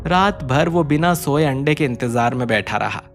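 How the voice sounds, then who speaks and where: A man's voice: medium pitch, expressive, moderate pace. A man narrating a scripted cartoon story as its storyteller. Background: music.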